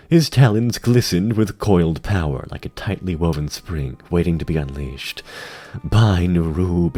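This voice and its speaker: A man giving dramatic scripted narration for a fantasy tale, grave, deliberate and theatrical. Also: sits low, dark tone. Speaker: narrator of a fan audio drama.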